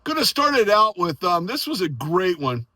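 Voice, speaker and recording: An American man chatting casually and excitedly as an amateur radio operator, friendly and effusive. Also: high voice, normal speed, dry recording, studio quality. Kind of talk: casual enthusiastic chat and radio exchanges.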